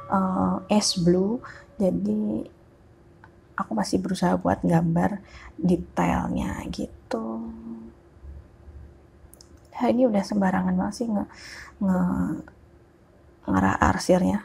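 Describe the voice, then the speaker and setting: A woman's voice: fairly low pitch, creaky, darkish tone, a little hiss or hum, rough recording. A woman with a thick Javanese Ngapak accent and a congested, nasal voice, giving casual unscripted tutorial commentary. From Java, Indonesia. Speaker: digital illustration tutor. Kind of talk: casual unscripted tutorial commentary over a speed-art video.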